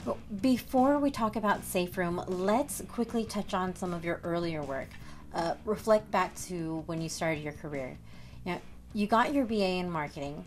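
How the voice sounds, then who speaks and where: A woman's voice: fairly low pitch, normal speed, a little mellow, faint music behind. A woman in her thirties hosting an entertainment TV show, asking questions from a studio set with bubbly enthusiasm. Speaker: host. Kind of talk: entertainment TV interview.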